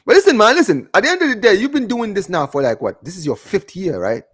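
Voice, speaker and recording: A man's voice: high voice, big pitch swings, quick pace, fairly bright tone, husky, dry recording, studio quality. A man hosting a live football fan show in casual, slangy chat, often repeating himself and stumbling as he reads out comments. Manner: slangy, repeatedly addressing listeners as man, bro and guys; stumbling, repetitive delivery. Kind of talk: casual livestream chat, reading out viewer comments.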